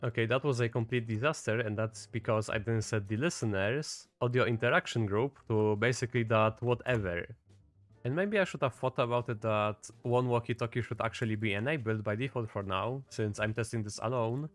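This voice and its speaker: A man's voice: mid-range voice, fairly quick, soft background music. A man giving a casual, step-by-step screen-recorded tutorial as the host. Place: voice-over at a computer.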